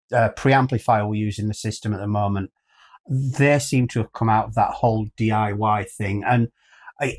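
A British man in his fifties co-hosts a casual chat show. His voice sits at an ordinary pitch. He rambles along in an enthusiastic, conversational way. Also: a little mellow. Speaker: co-host. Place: small furnished room, indoors.

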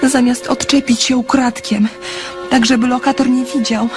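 A woman playing a shy, earnest, sensitive young woman in a radio play, telling her story in a soft, confiding, tender way. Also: sits high, fairly even intonation, moderate pace, close to an everyday voice. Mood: bashful. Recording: music under the voice. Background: instrumental music.

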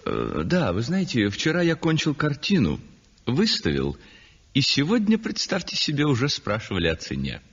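A worldly, pleased-with-himself painter holds forth in a 1960s radio drama. His pitch swings dramatically and his tone is husky, chatty and smug. The old recording sounds dull, with a long reverb. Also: huge pitch swings, normal speed, a bit bright, exaggerated voice. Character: self-satisfied, worldly male painter, chatty and mercenary. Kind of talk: 1960s radio play acting, confiding monologue.

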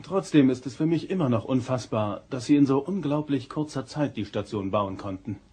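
An actor playing a confident, polite starship first officer in a German audio drama. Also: male voice, mid-range voice, animated, normal speed, dark tone, slightly rough. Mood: polite, assured.

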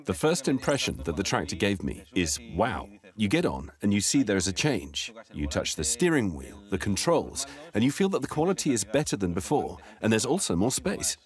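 Spanish-accented farmer, lively low voice. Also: male voice, normal speed, few pauses. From Spain. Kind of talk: customer testimonial interview. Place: tractor showroom.

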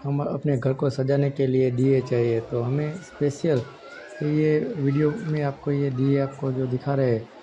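A man from India, narrating a casual shopping vlog with hesitant, halting phrasing. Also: mid-range voice, little pitch movement, dark tone. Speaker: vlogger. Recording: dull lo-fi audio with background noise.

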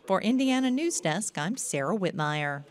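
A woman news reporter reading a scripted report with a lively delivery. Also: mid-range voice, normal speed.

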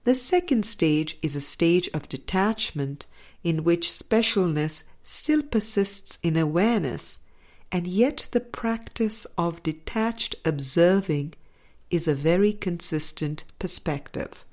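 A woman reading a spiritual audiobook as scripted narration, with measured, deliberate phrasing. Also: on the low side, animated, darkish tone. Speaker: audiobook reader.

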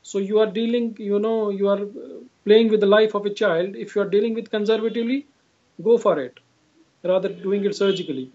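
A man with an Indian accent speaks in a notably high voice, giving explanatory answers as an interviewed clinician. He tends to repeat his phrases. The sound is slightly muffled and lo-fi, as over a video call. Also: steady tone, fairly quick, darkish tone. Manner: repetitive, often says "you know". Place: indoor room, video call. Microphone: video call device at arm's length. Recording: dull, lo-fi video call audio.

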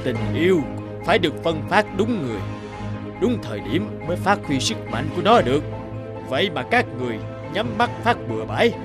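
Stern old god, commanding and animated. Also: male voice, a little high, brisk, music in the background. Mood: scolding. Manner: grand, admonishing. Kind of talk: animated fable dialogue.

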